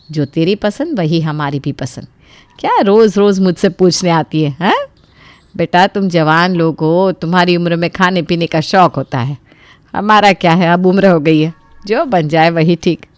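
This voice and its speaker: A woman's voice: on the low side, animated, a little slow, dry recording. Kindly elderly mother-in-law, acted by a woman. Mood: affectionate.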